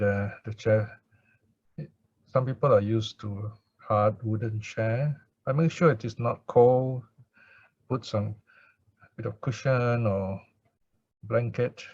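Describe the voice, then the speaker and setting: Male meditation guide for chant and instruction. Low, slow delivery, gentle, with pauses; close dry but muffled lo-fi sound. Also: gravelly, dry recording. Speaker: Buddhist meditation teacher. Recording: dull lo-fi recording.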